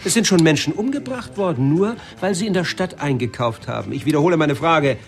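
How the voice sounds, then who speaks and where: An actor playing a menacing police captain, sarcastic, officious and pressing, in a German radio drama. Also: male voice, fairly high pitch, animated, a little fast. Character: menacing, sardonic male police captain interrogating.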